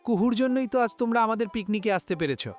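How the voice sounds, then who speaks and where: A man's voice: high-pitched, fast talker, soft background music, natural voice. A man voicing a kindly, reassuring village uncle in a cartoon.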